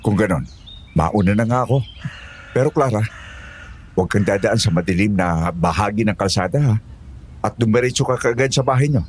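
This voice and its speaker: A man's voice: lowish voice, animated, a little fast, pauses often, a little hiss or hum, close to an everyday voice. A man playing an older villager in an audio horror drama, solicitous yet suspicious, with musing hums and probing questions. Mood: concerned, cautioning.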